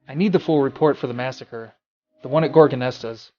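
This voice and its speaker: A man's voice: mid-range voice, brisk, roomy sound, dull audio, slight background noise, close to an everyday voice. A man playing an earnest, weary young amateur detective in a scripted noir audio drama, subdued and reflective. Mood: hesitant, earnest. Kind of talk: scripted first-person noir narration and dialogue.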